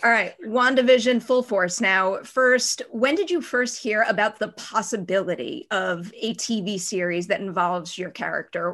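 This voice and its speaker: A woman's voice: mid-range voice, fairly bright tone. A woman interviewer asking questions in a chatty, casual way. She speaks as an enthusiastic fan and pokes fun at her own questions. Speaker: entertainment interviewer.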